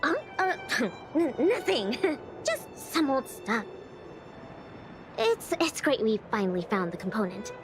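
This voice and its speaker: An actress playing a shy, curious teenage girl researcher in an anime-style game, hesitant and soft-hearted, often trailing off. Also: a little high, very animated, slow pace, music in the background. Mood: flustered.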